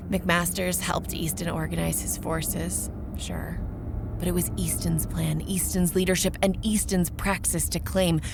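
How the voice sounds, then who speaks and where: A woman's voice: on the low side, vocal fry, slight background noise. A woman playing a sardonic vampire researcher in an audio drama, narrating in a wry, profane, conversational way. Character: Aurora, sardonic young female vampire researcher narrating her exploits. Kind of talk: first-person audio drama narration and dialogue.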